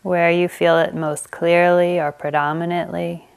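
Slow, soft-spoken woman teacher guiding meditation, lowish voice with some fry, smooth tone. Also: flat delivery. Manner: slow, gentle guiding with long pauses. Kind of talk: guided meditation.

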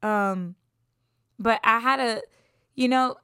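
A woman's voice: on the high side, slow pace, bright, forceful tone, smooth, dry recording, studio quality. A woman in her twenties from Puerto Rico, chatting casually about herself as a podcast host, upbeat and laughing often, sometimes dropping into a whisper. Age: 20s. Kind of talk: casual self-introduction.

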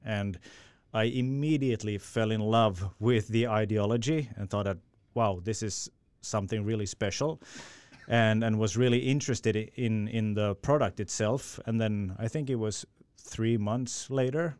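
Smooth-voiced man. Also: on the low side, normal speed, a little mellow, dry recording. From Finland. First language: Swedish. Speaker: sales director. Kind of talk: podcast interview answer.

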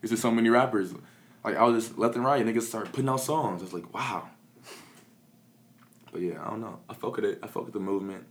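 A man's voice: fairly low pitch, a little fast, pauses often, a little hiss or hum. A young man from Peoria who raps and produces, talking in a laid-back, mumbly way with lots of fillers and swearing. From Peoria, Illinois. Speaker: rapper and producer. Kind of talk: casual interview chat.

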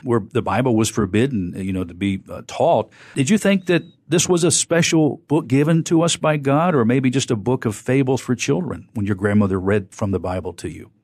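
A man's voice: medium pitch, expressive, brisk, few pauses, a little mellow, no room sound. Polite radio host man. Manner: formal, polite, long-winded questions. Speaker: radio talk show host. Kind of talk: radio interview. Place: radio studio.

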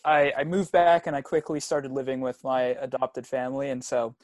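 A young man whose first language is Swahili, talking casually as a podcast guest, soft-spoken with many fillers. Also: mid-range voice, steady tone, normal speed, dry recording. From United States, raised partly in Tanzania. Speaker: guest runner.

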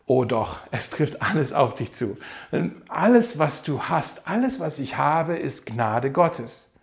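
A male pastor preaching with a fairly high voice. He speaks with emphasis, addresses the congregation directly, and slows down to repeat his key lines. His delivery is measured and earnest. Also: lively intonation, vocal fry. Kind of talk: sermon.

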